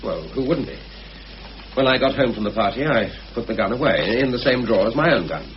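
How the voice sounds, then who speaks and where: A man's voice: mid-range voice, lively intonation, fairly quick, natural voice. An actor playing a stubborn, defensive male murder suspect in a radio play, giving clipped answers. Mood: flustered, defensive. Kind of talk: radio murder mystery acting. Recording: muffled, lightly hissy old radio recording.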